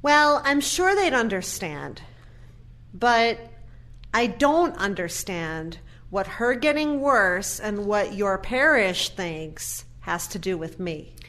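A woman acting in an audio play as a guarded, resentful adult daughter, measured and pointed with her brother. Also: medium pitch, very animated, fairly bright tone. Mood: challenging.

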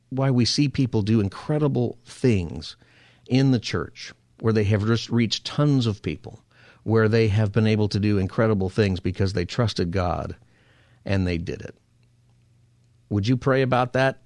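Earnest low-voiced male radio host. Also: darkish tone, dry recording, studio quality. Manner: earnest, encouraging, preacher-like exhortation. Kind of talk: faith-based talk radio monologue. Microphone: studio microphone.

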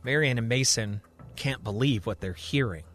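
A man narrating a scripted serial drama as a storyteller, deliberate and suspenseful. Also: mid-range voice, fairly bright tone, soft background music.

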